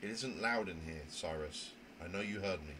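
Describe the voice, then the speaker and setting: A man acts out a prim young visual novel character who narrates in fussy, theatrical phrases. The voice sits fairly low and sounds indignant and proper. The recording carries noticeable noise. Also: moderate pace, pushed and bright. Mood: haughty. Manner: wordy, fussy, theatrical diction. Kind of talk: dramatic reading of a visual novel. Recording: noisy home microphone recording.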